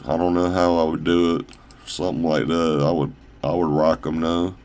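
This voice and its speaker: Deep-voiced man, rambling and halting. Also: flat delivery, darkish tone, a little hiss or hum. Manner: halting, loose, full of fillers and restarts. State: slightly raspy from long talking. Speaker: independent shoe designer showing his own designs. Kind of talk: casual rambling product showcase. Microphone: phone camera.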